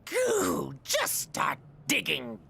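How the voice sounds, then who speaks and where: An actor voicing a vain, tantrum-prone ghost tyrant in a cartoon, pompous and theatrical, with a gravelly edge, hurling exclamatory insults. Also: medium pitch, wildly swooping pitch, very slow, background noise, heavily acted. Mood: scornful fury. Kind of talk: theatrical cartoon villain acting.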